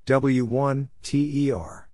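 A man giving a scripted reading of call signs and short exchanges as a practice narrator, in deliberate, clipped phrases with letters spelled out. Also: mid-range voice, steady tone, noisy background. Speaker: Morse code practice narrator.

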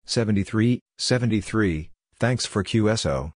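A man reading short scripted practice phrases as a drill narrator, measured, spelling out letters and digits one by one. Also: on the low side, no echo, studio quality.

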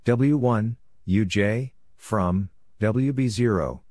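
A man reading out scripted radio practice messages in measured, clipped short phrases, like a narrator for a lesson. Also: lowish voice, darkish tone. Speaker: Morse code practice narrator.

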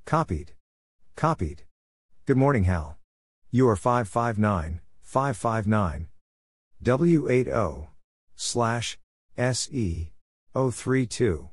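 A man reading out scripted radio exchanges for Morse code practice, spelling letters and numbers one by one in a measured, deliberate way. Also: medium pitch, lively intonation, no room sound, clean studio sound.

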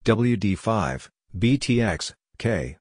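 A man reading short scripted practice phrases as a narrator, in a deliberate, clipped, phrase-by-phrase way. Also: lowish voice, animated, darkish tone, slightly rough, no echo, clean studio sound.

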